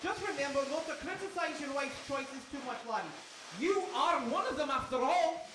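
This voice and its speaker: A woman playing a folksy talking seagull in a live radio play, brisk and teasing as it gives advice. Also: high voice, lively intonation. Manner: folksy, teasing, says "laddie" and "wee". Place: live stage in a hall with audience. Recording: echoey hall, distant, clear noise.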